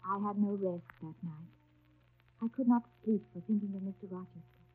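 An actress playing an earnest, reserved young governess in a 1930s radio drama, speaking and narrating in a grave, polite, restrained manner. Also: female voice, medium pitch, a little fast, faint music behind, natural voice. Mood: hushed, tense. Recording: muffled, tinny 1930s radio broadcast.

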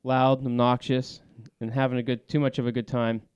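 A laid-back man hosts a podcast around a studio table, his voice smooth and clear. He pads his talk with easy filler words. The room sounds dry and close. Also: medium pitch, flat delivery, brisk, darkish tone, no echo, lo-fi sound. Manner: voice that carries. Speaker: podcast host. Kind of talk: casual fly fishing podcast chat.

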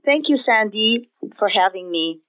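A woman's voice: mid-range voice, lively intonation, measured pace. A middle-aged woman from the Philippines with a Filipino accent, answering conversationally as an interview guest. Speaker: interview guest, director of nursing. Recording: tinny phone line.

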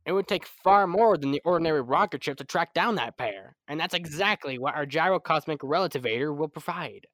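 A man's voice: fairly high pitch, animated, brisk, a bit bright, dry recording. A young man playing a determined, formal scientist in a 1930s-style radio serial, speaking insistently. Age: young adult. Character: Doctor Huer, determined, formal male scientist.

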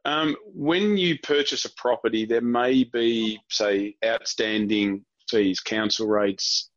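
An Australian man explaining things informally as an interview guest, in a halting way, often rephrasing as he goes. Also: medium pitch, a bit bright, no room sound, dull audio. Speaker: guest real estate director.

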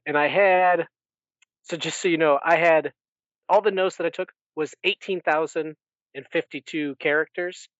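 A man's voice: on the high side, a bit bright, no echo, dull audio. A man co-hosting a podcast, chatting casually through a book recap in a rambling way and often correcting himself. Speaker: podcast co-host.

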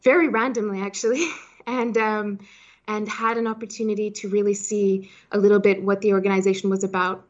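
A woman's voice: mid-range voice, level tone, fairly quick. Woman in her thirties, chatty, muffled recording. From Switzerland-born, raised in Hong Kong. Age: 30s. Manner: chatty, peppered with "you know". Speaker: podcast guest, startup founder. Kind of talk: conversational interview answers.

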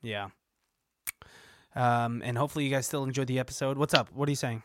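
A man's voice: mid-range voice, steady tone, fairly quick, fairly bright tone, slight background noise. A man co-hosting a podcast in casual, comedic chat. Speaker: podcast co-host. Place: indoors, remote recording.

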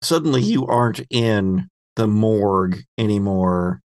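A man hosting a tabletop horror game as game master, narrating scenes descriptively with halting pauses. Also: lowish voice, expressive, a little mellow.

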